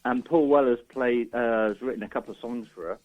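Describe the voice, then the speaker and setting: A man's voice: mid-range voice, brisk, soft background music. A man with a mid-range voice, talking casually as a guest on a show. He is informal and hesitant, wandering through his sentences. The recording is a little dull and lo-fi. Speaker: radio interview guest, musician. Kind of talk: casual chat. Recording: slightly dull, lo-fi sound.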